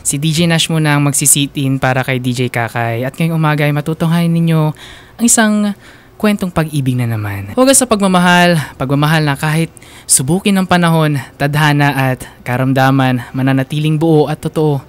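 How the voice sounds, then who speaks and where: A man's voice: fairly high pitch, fairly quick, smooth. A Filipino man hosting a radio show, delivering a scripted introduction to a story. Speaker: radio DJ sitting in as host and story narrator.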